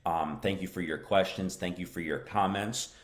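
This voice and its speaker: An American man teaching English in a live-stream lesson, answering viewer questions in a slow, encouraging way and repeating key phrases. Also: low-pitched, steady tone. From United States.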